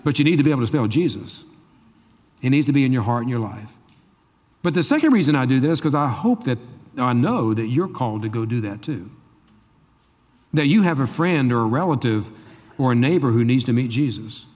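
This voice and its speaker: A man's voice: mid-range voice, a little mellow. Guy pastor preaching in a church, jokey and folksy, big echoey hall. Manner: folksy and joking, with playful asides to the congregation. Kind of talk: sermon. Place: church sanctuary. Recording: long reverb of a large hall.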